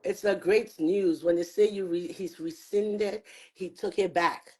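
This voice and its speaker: A woman talking earnestly on a show, arguing her view in rapid bursts. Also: on the low side, fairly even intonation, mellow. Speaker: guest commentator. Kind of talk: opinionated chat.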